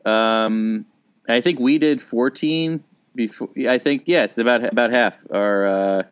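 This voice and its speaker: A man's voice: medium pitch, measured pace, clear, resonant tone. A man in his thirties, a comedian co-hosting a podcast, talking casually and thinking aloud with frequent restarts.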